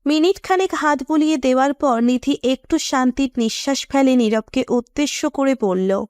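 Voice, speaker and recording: Female narrator for scripted romance audio story, high voice, dry recording. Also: moderate pace, a little mellow, dry recording.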